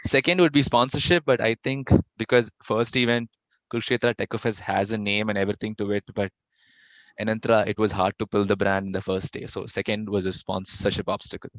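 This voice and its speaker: A man's voice: on the low side, flat delivery, fairly quick, fairly bright tone, dry recording. A young man answering interview questions casually, with a stutter and frequent restarts. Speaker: interviewee, fest founder. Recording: dull lo-fi dry audio.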